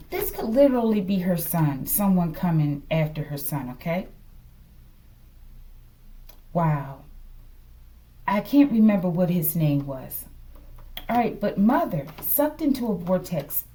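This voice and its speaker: A woman's voice: medium pitch, mellow, recorded from a distance. An American woman giving a casual, improvised tarot reading to viewers in a musing way, often checking in with "okay". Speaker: tarot reader.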